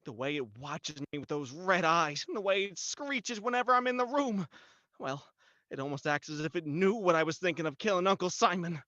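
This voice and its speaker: A man acts out an anxious, henpecked husband in an old radio thriller. The voice is tense and pleading with lively swings of pitch, on a slightly dull recording. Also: fairly quick, dry recording. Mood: uneasy, pleading. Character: nervous, hesitant henpecked husband reluctant to kill. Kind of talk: 1940s radio melodrama acting.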